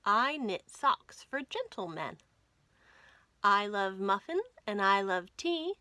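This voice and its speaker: A woman hosting a children's storytime, reading stories and nursery rhymes aloud in an expressive, clearly enunciated way for young listeners. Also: medium pitch, slow pace, no echo. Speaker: children's storytime host at a library.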